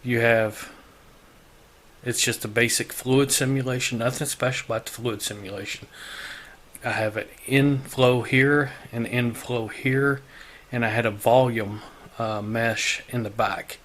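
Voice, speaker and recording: A man with a weak voice that is starting to give out, casually talking through a software tutorial in an informal, self-deprecating way. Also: mid-range voice, steady tone, measured pace, slight background noise. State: weak voice, losing his voice. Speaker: tutorial host. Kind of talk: casual screen-recorded software walkthrough.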